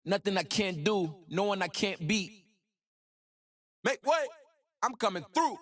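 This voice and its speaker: A young, ambitious hip-hop hero from a video game. His voice is high and swings around in pitch, with slow, rhythmic, slangy swagger and total self-belief. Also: expressive. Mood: confident, driven. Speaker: video game voice actor and rapper. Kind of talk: game voice lines.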